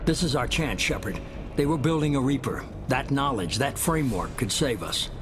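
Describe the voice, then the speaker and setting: A man playing a cool, manipulative mastermind who talks in a measured, persuasive and commanding way. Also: mid-range voice, animated, over music, natural voice. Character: ruthless human mastermind, middle-aged man, cool and manipulative. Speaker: shadowy organization leader.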